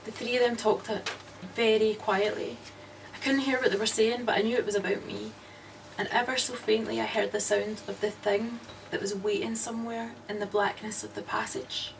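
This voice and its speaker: Haunted female narrator, radio suspense, slow dread, high voice, off-mic old recording. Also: level tone, vocal fry, far from the mic, slight background noise, rough recording. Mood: fearful, intense. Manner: slow, dramatic, dread-laden. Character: haunted woman narrating her own nightmare.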